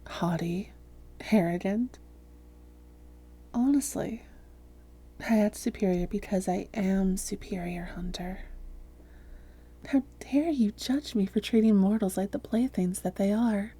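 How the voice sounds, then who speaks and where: A woman playing a haughty, seductive vampire hypnotist, breathy and often whispering, with a smug, teasing, slow and coaxing delivery in an intimate roleplay audio. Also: medium pitch, mellow. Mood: haughty, amused. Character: haughty, seductive female vampire hypnotist toying with a captured hunter.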